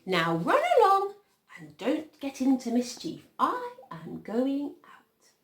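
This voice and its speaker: A woman's voice: high voice, huge pitch swings, slow pace, a bit bright, pitched above the performer's own voice, exaggerated voice. A woman reading a storybook as an old mother rabbit, fond but firm, giving motherly instructions. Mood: motherly warning. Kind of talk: storybook character read-aloud. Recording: roomy, echoing indoor sound.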